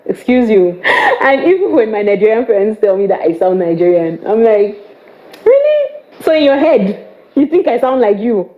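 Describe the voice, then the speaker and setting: A woman's voice: a little high, wildly swooping pitch, normal speed, muffled recording. A young woman with a Cameroonian English accent, chatting to camera in a playful way, with teasing asides. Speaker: vlogger. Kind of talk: casual chat to camera.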